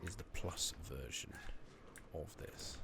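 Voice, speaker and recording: A man giving hushed, casual, rambling ASMR commentary while playing a video game, speaking softly into a close microphone. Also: slow pace. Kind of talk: soft-spoken ASMR gameplay commentary. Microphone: close USB condenser microphone. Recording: noticeable background noise, clicking.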